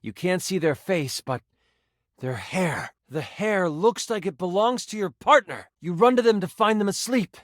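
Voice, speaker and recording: A theatrical game master narrates fantasy adventure in a highish male voice. The delivery is expressive and swings widely in pitch, savoring suspense, recorded close and dry for a video game. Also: measured pace, no echo. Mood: suspenseful storytelling. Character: personified D20 die, male, theatrical, enthusiastic game master. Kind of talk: dramatic tabletop fantasy narration.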